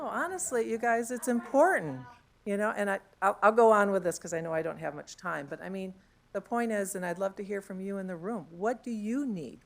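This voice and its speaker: A woman with a low voice speaking on a conference panel, chatty, using many fillers and tag questions, into a handheld mic in a conference room. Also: gravelly.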